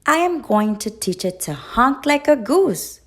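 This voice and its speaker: A proud male goose from a picture book who treats everything as serious business, as a woman reads him aloud. He talks earnestly in a bright, lively voice that rises and falls, at an unhurried pace. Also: a little high.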